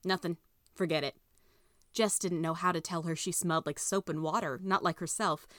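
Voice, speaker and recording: A woman's voice: fairly low pitch, steady tone, a little fast, no room sound, clean studio sound. A woman narrator reading a scripted children's story.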